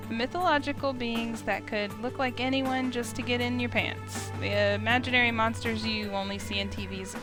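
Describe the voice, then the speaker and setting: High-pitched flustered young heroine, acted by a woman. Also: moderate pace, few pauses, lightly acted. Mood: incredulous. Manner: incredulous, expressive. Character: flustered, sceptical young heroine narrating her story. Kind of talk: first-person scripted narration with dialogue. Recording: music behind the voice.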